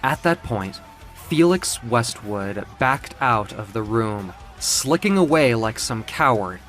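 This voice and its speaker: A man's voice: mid-range voice, animated, slow pace, fairly bright tone. Male dramatic storyteller over music. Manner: theatrical, suspenseful. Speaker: narrator. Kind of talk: dramatic scripted story narration.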